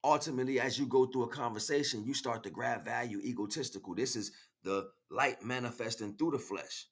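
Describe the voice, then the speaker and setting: An American man giving an emphatic, freestyle spiritual monologue in street slang, repeating phrases as he goes, with a husky voice. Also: mid-range voice, fairly quick, fairly bright tone. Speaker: spiritual livestream speaker.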